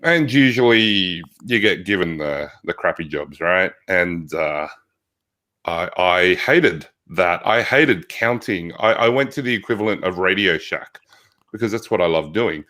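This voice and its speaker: A man with an Australian accent, a physical therapist telling stories as an interview guest, loud and reflective, often restarting his sentences. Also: sits low, lively intonation, measured pace. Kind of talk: conversational interview.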